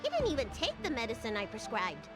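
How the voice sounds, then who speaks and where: A woman voicing a young girl healer in a video game, earnest and chatty, with precise, expressive phrasing. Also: on the high side, fast. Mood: thoughtful. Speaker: doctor. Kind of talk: English video game voice acting. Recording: game music behind the voice.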